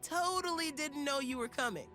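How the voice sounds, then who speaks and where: An actor playing a cocky, warm, street-smart teenage boy inventor in a video game, with playful, breezy slang. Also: sits high, normal speed, soft background music. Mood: teasing.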